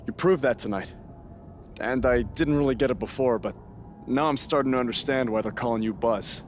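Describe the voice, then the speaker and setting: A man's voice: mid-range voice, a little fast, slight background noise, close to an everyday voice. A man playing a cocky, joking up-and-coming pro wrestler in a video game, with a laid-back manner. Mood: friendly. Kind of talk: video game cutscene acting.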